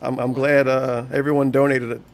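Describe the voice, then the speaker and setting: A man's voice: medium pitch, flat delivery, normal speed, creaky, slight background noise. A man giving teasing running commentary over a stream, laughing often and reading chat aloud.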